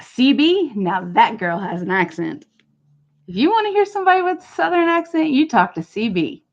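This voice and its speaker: Chatty northern US woman, lively high voice. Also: moderate pace. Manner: chatty, laughs often, rambling. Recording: dull lo-fi stream audio.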